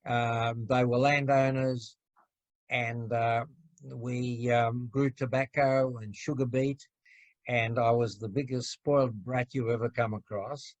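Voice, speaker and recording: A man's voice: mid-range voice, little pitch movement, slow, no room sound, poor audio. A man in his eighties from Slovakia giving frank personal testimony over a video call, stumbling on repeats. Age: 80s. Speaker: Holocaust survivor interviewee.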